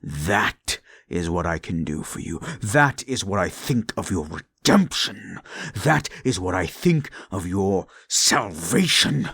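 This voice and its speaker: A man voicing a cruel, unrepentant male demon in an audio roleplay, talking slowly with contempt and dropping into menacing whispers. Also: big pitch swings, fairly bright tone, no room sound, clean studio sound, heavily acted. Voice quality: gravelly, low, menacing.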